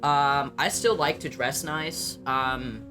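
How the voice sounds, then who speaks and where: Young man, light mid-range voice with some bass, loose and blunt reaction-vlog delivery with lots of ums, music playing behind. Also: steady tone, takes it slowly. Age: young adult. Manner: chatty, blunt and self-mocking, swears freely, many ums. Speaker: vlogger reacting to his old videos. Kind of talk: casual reaction commentary.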